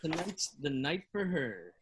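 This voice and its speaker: Man playing lovestruck skater kid, hopeful, high male voice, quiet distant mic, indie film read. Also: big pitch swings, measured pace. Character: lovestruck, superstitious eighteen-year-old skater boy. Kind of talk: table read of a film script.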